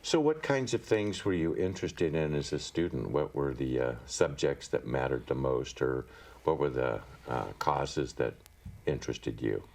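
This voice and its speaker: A man hosting a public-access TV interview, asking his guest questions in a measured, friendly way. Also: a bass voice, animated, darkish tone. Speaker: host.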